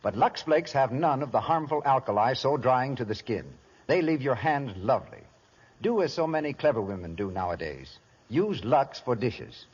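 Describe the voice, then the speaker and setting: An American man reads scripted announcements in classic old radio style. His voice is husky and lively in intonation, heard through a dull, vintage broadcast recording. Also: mid-range voice, normal speed, big-room sound. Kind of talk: 1930s radio announcing and sponsor ad.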